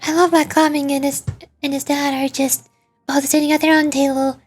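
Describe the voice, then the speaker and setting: A woman's voice: high-pitched, flat delivery, moderate pace, soft background music. A woman livestreaming a game, reading dialogue aloud and adding playful asides. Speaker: VTuber game streamer.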